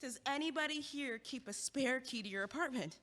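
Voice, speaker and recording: Woman acting wry teasing young friend, bright sharp voice, quick-witted, audio play, long hall reverb. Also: sits high.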